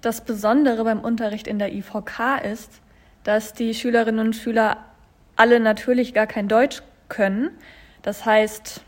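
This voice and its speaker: A woman, a math teacher, answering interview questions in a relaxed way with fillers and restarts. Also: mid-range voice.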